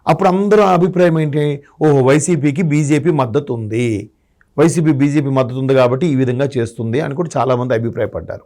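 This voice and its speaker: A man's voice: medium pitch, fairly quick, dark tone. A man giving political commentary in a discussion, arguing his points through rhetorical questions. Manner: argumentative, asks rhetorical questions.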